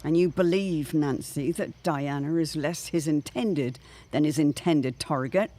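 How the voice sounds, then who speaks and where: A woman's voice: low voice, unhurried, mellow. Woman playing an ornate, theatrical, anxious matron. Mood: earnest, concerned. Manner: formal, ornate, theatrical phrasing. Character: Jane Pembroke, anxious, eloquent older neighbourhood matron with premonitions. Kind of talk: period radio drama acting.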